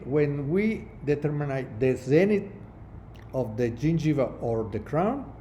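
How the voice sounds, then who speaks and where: A middle-aged man whose first language is Spanish, giving a webinar lecture as a prosthodontist in halting English with many restarts. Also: a little high, warm tone, a little hiss or hum. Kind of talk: webinar lecture over slides.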